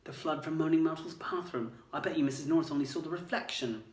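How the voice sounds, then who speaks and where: A man's voice: on the high side, fast talker, voice pushed up, close to an everyday voice. A man doing an urgent, earnest teenage boy. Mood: excited. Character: quick-thinking teenage schoolboy, earnest and urgent. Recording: roomy, distant mic.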